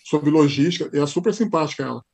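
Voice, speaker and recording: A man's voice: a little high, expressive, quick pace, creaky, a little mellow, breathy edge. A Brazilian man with a São Paulo accent, a podcast guest who tells stories in casual chat and acts out the dialogue he quotes. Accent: São Paulo Portuguese.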